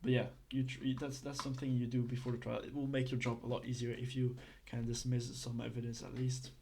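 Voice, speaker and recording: A man's voice: medium pitch, monotone, fairly quick, darkish tone, a little hiss or hum. A man from Belgium, giving a casual, hesitant lesson full of fillers and restarts. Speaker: instructor.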